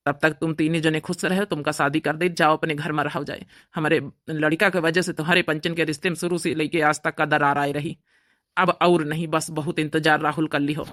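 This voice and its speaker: A man's voice: fairly high pitch, sharp tone, no room sound, studio quality. A man voicing an earnest, emotional, self-sacrificing brother in a homemade cartoon family drama, with an Awadhi accent.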